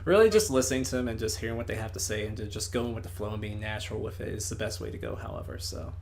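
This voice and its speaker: A man hosting a casual online interview, wordy and animated, who swears freely. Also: on the low side, rapid-fire, gravelly, a little hiss or hum.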